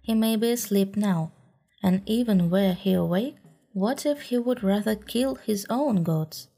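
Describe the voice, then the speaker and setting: Woman reader as a scolding male panther, dark-toned and deliberate. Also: medium pitch, gravelly. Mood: impatient. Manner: scolding, impatient.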